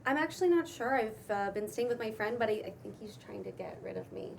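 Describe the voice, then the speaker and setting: A woman's voice: fairly high pitch, lively intonation, close to an everyday voice. A woman acting an awkward, hesitant, dryly skeptical character, stammering and slangy, in a cold read of a comedy script. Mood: hesitant, polite. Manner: halting, stammering, casual and slangy. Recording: distant room mic, faint background noise.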